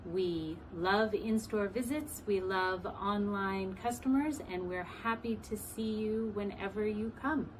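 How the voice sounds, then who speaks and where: A woman's voice: mid-range voice, slow pace, hardly any pauses, poor audio. A woman speaking as a shop owner, giving a friendly promotional welcome and introduction. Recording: clear background ambient noise.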